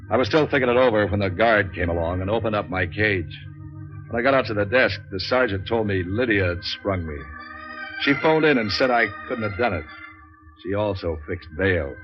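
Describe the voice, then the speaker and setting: An actor playing a world-weary, wisecracking troubleshooter in a 1940s radio noir, clipped and deadpan. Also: male voice, deep voice, close to an everyday voice. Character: cynical, world-weary hardboiled male private troubleshooter, wisecracking. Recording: dull 1940s radio recording.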